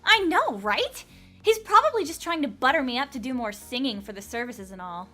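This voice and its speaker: A woman's voice: high voice, big pitch swings, brisk, bright, forceful tone, faint music behind, full character voice. A woman playing a chatty, nosy and teasing young best friend in an audio drama. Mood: cheerful, chatty.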